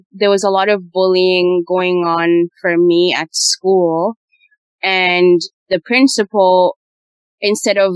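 A woman's voice: fairly low pitch, no room sound. A young woman in her twenties from Uganda, hosting a casual conversation and telling her story with animation. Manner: animated storyteller, mimics voices.

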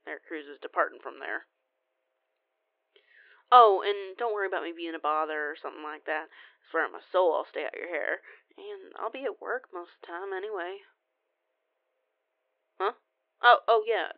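A woman's voice: lowish voice, moderate pace, pauses often, no room sound. A woman playing a cheeky, rambling young female werewolf cousin in an audio roleplay phone call, sheepish, folksy and a bit foul-mouthed. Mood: relieved, chatty. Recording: tinny band-limited phone line, very dry.

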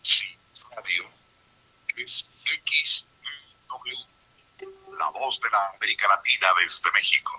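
A man's voice: lowish voice, slow, long pauses, pushed and bright, exaggerated voice, poor audio. A man announcing a radio station identification in vintage style. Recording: raspy band-limited old radio clip, music.

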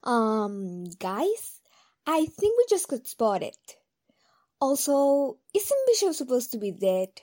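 A woman playing a gentle, questioning, concerned member of a hero team in an audio drama. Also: high voice, big pitch swings, slow, exaggerated voice. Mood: earnest.

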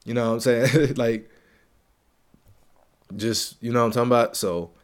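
A man's voice: mid-range voice, fairly even intonation, normal speed, clear, resonant tone. A young man with a stuffy, congested voice hosts his own gaming podcast in a loose, slangy way. He keeps the hype going by repeating phrases for emphasis. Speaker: podcast host. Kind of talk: casual solo gaming podcast.

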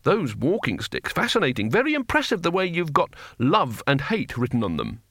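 Man putting on a proud, gruff old barbarian hero. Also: fairly high pitch, wildly swooping pitch, moderate pace, fairly bright tone, dry recording, clean studio sound, pitched above the performer's own voice, exaggerated voice. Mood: admiring, proud. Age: elderly. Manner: colloquial, blunt, boastful.

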